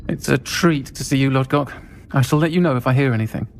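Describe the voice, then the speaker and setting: An actor playing a warm, protective tavern keeper woman, assured and dry-witted, in a fantasy game. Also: mid-range voice, fast talker, a little hiss or hum, close to an everyday voice. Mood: welcoming.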